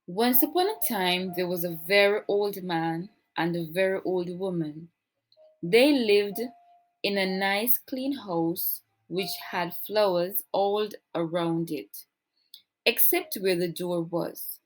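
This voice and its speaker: Slow-paced woman reading a children's story. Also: on the low side, poor audio. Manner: expressive, deliberate storytelling delivery. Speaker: storybook reader, teacher. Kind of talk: children's read-aloud storytelling.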